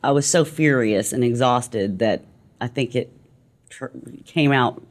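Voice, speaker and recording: A man's voice: mid-range voice, a little slow. Texan man recalling recording sessions. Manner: easygoing, drops into whispers. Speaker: voice actor.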